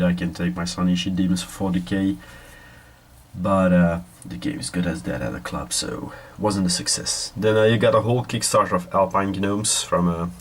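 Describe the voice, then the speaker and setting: A man from Belgium with a nasal voice from a cold, giving a casual, rambling hobby update in a halting, hesitant way. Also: low-pitched. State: has a cold. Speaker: hobby video host.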